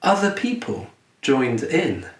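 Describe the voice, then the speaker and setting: A man who is a children's author reading his picture book aloud in a gentle storytelling manner with deliberate dramatic pauses. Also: fairly high pitch, very animated. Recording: roomy echo, distant mic, faint noise.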